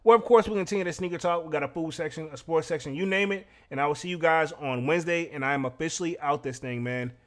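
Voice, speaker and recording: A man's voice: fairly high pitch, fast, hardly any pauses. A man hosting a podcast livestream, talking casually and conversationally with slangy asides. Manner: conversational, slangy, frequent "you know" asides. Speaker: podcast host and streamer. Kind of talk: casual livestream recap and sign-off.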